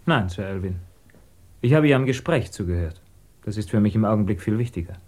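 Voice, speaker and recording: Low-voiced, guarded émigré scientist. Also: male voice, expressive, a little mellow. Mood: guarded, polite. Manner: measured, formal, polite, resolute. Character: guarded, earnest German émigré physicist, a job candidate. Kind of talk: 1950s German radio drama acting.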